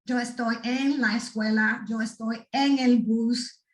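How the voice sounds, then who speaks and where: Lady, middle-aged, pumping up students, kinda high voice, echoey and far from the mic. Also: unhurried. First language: Spanish. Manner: animated, addresses the audience directly with frequent "right?". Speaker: speaker at a student workshop. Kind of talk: motivational talk to students.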